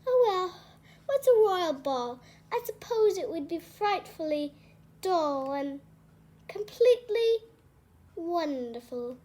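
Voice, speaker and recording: A woman voicing a gentle, wistful, dreamy young heroine in a storybook, earnest and full of longing. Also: very high-pitched, big pitch swings, slow, full character voice.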